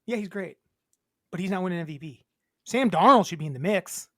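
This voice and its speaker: A woman co-hosting a podcast, chatting casually with crude, joking banter and a high voice. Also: lively intonation, fairly quick, pushed and bright, dry recording, clean studio sound. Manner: crude, jokey banter about football. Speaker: podcast co-host. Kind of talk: casual chat.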